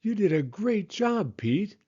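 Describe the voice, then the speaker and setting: Man voicing an encouraging storybook mother cat, lifted pitch. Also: very animated, slow, slightly rough, lo-fi sound, full character voice. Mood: pleased, encouraging. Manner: gentle, encouraging.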